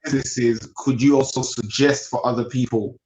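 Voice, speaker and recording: A man's voice: medium pitch, steady tone, normal speed, a little mellow, slight background noise. A man co-hosting a livestream interview, with a mid-range voice. He puts polite follow-up questions to the guest. His voice carries a roomy echo. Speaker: co-host.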